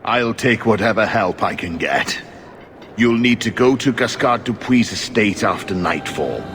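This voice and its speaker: An actor playing an earnest, dogged investigator in a fantasy video game, speaking formally and insistently. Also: male voice, lowish voice, animated, a little slow, a bit bright. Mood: determined. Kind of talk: scripted video game acting. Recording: game audio over music.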